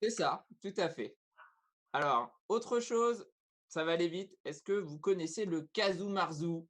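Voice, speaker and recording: A man's voice: high voice, expressive, unhurried, fairly bright tone. Playful man hosting a quiz. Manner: playful quizmaster, teasing. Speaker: talk show host. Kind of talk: live online talk show quiz. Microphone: video call.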